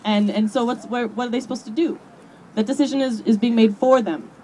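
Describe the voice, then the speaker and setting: A woman's voice: on the high side, steady tone, brisk, dark tone, a little hiss or hum, poor audio. A woman speaking at an outdoor rally, talking in a conversational way with frequent fillers. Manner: conversational, many "you know" fillers. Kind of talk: rally speech.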